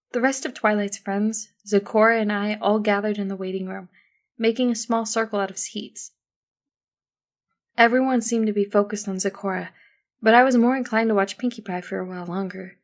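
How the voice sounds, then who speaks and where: Female narrator, mid-range voice, for first-person fiction audiobook narration. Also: medium pitch.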